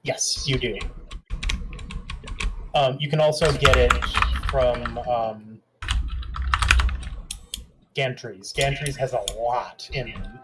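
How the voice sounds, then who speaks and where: Very deep-voiced woman on voice chat. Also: fairly even intonation, slow pace, pauses often, very noisy. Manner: dry, hesitant, many ums. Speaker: co-player on stream. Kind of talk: casual gameplay chat. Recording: keyboard clicks behind.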